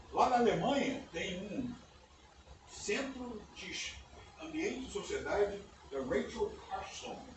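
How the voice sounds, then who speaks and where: Older man lecturing in an echoey hall. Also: fairly high pitch. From United States. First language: English. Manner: conversational, often ends phrases with "né?". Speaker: guest lecturer. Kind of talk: conference lecture. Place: university auditorium. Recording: echoey auditorium, distant mic, background noise.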